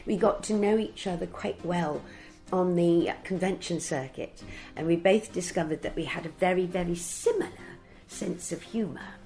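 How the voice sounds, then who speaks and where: Creaky-voiced older woman reminiscing fondly. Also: lowish voice, normal speed, darkish tone, faint music behind. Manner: affectionate, effusive. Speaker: actor being interviewed. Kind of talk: casual reminiscing interview.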